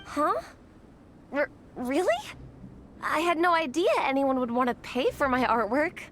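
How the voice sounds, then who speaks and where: A woman's voice: high voice, lively intonation, a little slow, a bit bright, slight background noise. A woman voicing an earnest young comic-artist girl in a video game, insecure but determined, with a hesitant, heartfelt way of explaining herself. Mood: surprised, grateful.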